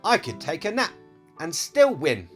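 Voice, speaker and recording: A man's voice: on the high side, huge pitch swings, a little slow, a bit bright, faint music behind, voice pushed up, exaggerated voice. A man voicing a boastful, mocking male hare in a children's storybook fable, with a taunting, cocky manner. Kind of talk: storybook read-aloud character voice.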